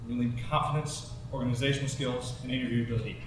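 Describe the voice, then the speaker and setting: A man playing a brisk college newspaper editor in naturalistic film dialogue, recorded in an echoey space at a distance. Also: medium pitch, steady tone, lightly acted. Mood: brisk, businesslike. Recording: very echoey, distant, faint noise.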